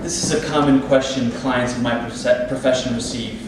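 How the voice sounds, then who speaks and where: A man's voice: medium pitch, steady tone, moderate pace, close to an everyday voice. A man playing a smug, ambitious plastic surgeon on stage, boastful and self-assured in a rhetorical, salesman-like delivery. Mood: self-assured pitch. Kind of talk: theatrical monologue at a staged play reading. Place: indoor theatre space, distant microphone. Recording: echoey, very noisy room from far off.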